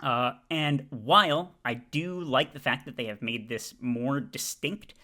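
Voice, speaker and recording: A man with a bright, forward voice at a middling pitch talks casually. He stops and starts often, filling the gaps with drawn-out "uh"s as he works through a ranking. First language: English. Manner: halting, many "uh" fillers and restarts. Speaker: video creator ranking flags. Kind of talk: casual commentary.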